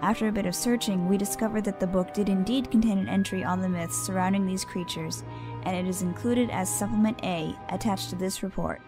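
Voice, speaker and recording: Woman as a businesslike pony official, formal report, mid pitched measured voice, bit of music behind. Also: fairly even intonation, close to an everyday voice. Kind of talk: scripted report in horror audio drama.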